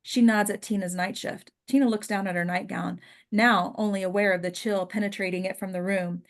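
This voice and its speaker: A woman reading stage directions at a script table read as deliberate, descriptive dramatic narration. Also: mid-range voice, steady tone.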